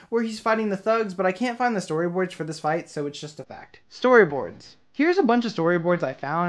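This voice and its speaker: A narrator reading a scripted list-style video commentary. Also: mid-range voice.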